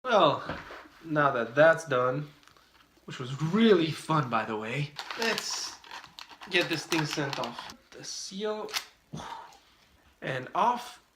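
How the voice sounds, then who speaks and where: A man's voice: a little high, lively intonation, slow, long pauses, distant mic, background noise. A young man from Romania co-hosting a couple's vlog in a casual, playful, teasing way. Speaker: vlog co-host. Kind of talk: casual chat. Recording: echoey room, off-mic.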